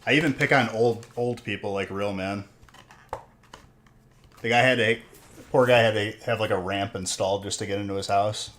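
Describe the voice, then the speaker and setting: A man's voice: on the low side, steady tone, moderate pace, pauses often, fairly bright tone, slight background noise. A man in his thirties hosting a live stream, chatting casually and jokingly teasing his viewers while he opens card packs. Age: 30s. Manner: teasing, joking banter with chat.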